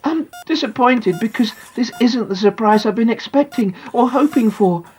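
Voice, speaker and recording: A woman voicing an excitable young boy who lies in a coma, confiding his thoughts emphatically in a dramatic audiobook narration. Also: a little high, moderate pace, pitched above the performer's own voice, natural voice. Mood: let down.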